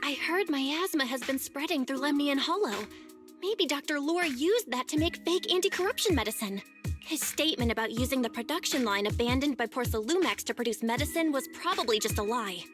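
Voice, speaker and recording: A woman voicing a composed, dutiful young female fighter in a video game, measured and serious. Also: high voice, animated. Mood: serious, alert. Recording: game music behind.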